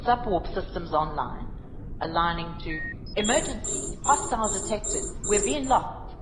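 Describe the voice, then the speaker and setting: A woman's voice: medium pitch, animated, unhurried, music in the background, lightly acted. A woman playing a cool, precise starship helm officer, making formal clipped announcements in a science fiction audio drama. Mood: urgent. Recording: muffled, noisy, reverberant ship interior effect.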